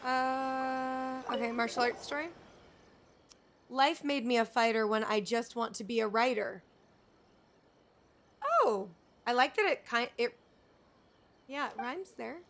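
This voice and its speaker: A woman's voice: a little high, unhurried, long pauses, a bit bright, a little hiss or hum. A woman live-streaming a game, switching between casual commentary and reading text aloud. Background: game music.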